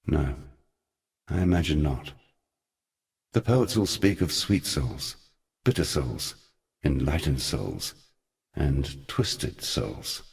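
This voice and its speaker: A man playing an ancient, sardonic god in a video game, telling dark lore in a measured, theatrical and eloquent way with a weighty voice. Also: expressive, gravelly, heavily acted. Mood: wry, brooding. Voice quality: very deep, weighty voice. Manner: measured, theatrical, wry storytelling. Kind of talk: scripted video game character monologue.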